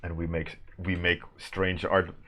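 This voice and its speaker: A man's voice: low-pitched, level tone, unhurried, fairly bright tone, a little hiss or hum. A man from Sweden with a Swedish accent, chatting casually on a stream while he draws, rambling with restarts and frequent laughs as he answers chat. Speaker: artist streaming a warmup session.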